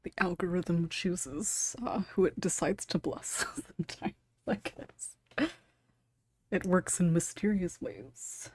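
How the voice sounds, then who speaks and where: Lower-pitched husky woman hosting. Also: slow, darkish tone, dry recording. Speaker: podcast host and scriptwriter. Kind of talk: casual panel discussion hosting.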